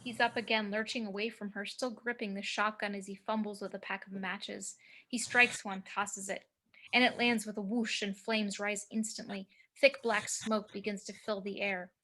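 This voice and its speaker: A woman's voice: medium pitch, flat delivery, moderate pace, sharp tone. A woman reading screenplay action as narrator, with dramatic, clipped phrasing, recorded over a home video call.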